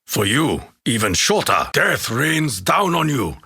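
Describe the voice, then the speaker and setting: An actor playing a solemn, proud Japanese warrior in a video game, with a gruff voice, a Japanese accent and grave, formal, archaic phrasing. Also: male voice, mid-range voice, sing-song, slow, bright, forceful tone, no echo, clean studio sound, full character voice. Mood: wrathful. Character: solemn, proud Japanese warrior soldier, honor-bound and menacing. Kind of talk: video game character battle lines.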